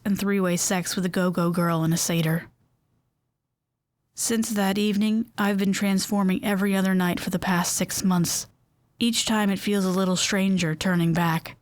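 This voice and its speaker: A woman's voice: medium pitch, no room sound. A woman with a mid-range voice and a bubbly, rambling manner carries this scripted audio drama. The sound is dry and close, with no room around it. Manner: bubbly, chatty, rambling asides. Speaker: in-world radio host.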